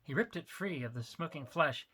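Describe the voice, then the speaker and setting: A man narrating his own story as an author, in an expressive, emphatic dramatic reading style. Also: fairly high pitch, normal speed, breathy edge.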